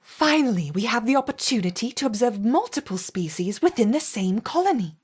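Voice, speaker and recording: Over loud noise comes a game character, a brisk and demanding female scientist in charge. She is formal and authoritative, with a lively, fairly high voice. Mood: brisk approval. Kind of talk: video game voice acting.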